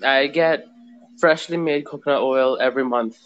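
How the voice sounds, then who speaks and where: A man's voice: medium pitch, normal speed, fairly bright tone, lo-fi sound. A man calling in to a casual chat, sick with a sore throat and draining sinuses, explaining in a rambling, roundabout way. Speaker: caller.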